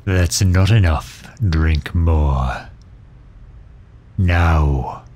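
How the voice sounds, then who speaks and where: Man acting as a stern villain, very low voice, formal, protective, commanding, unhurried delivery, roleplay audio drama. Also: a little mellow, slight background noise, full character voice. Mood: urgent, commanding. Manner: formal, stern, halting when flustered. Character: protective, commanding male villain fretting over injured hero. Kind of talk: scripted roleplay audio drama in ASMR style.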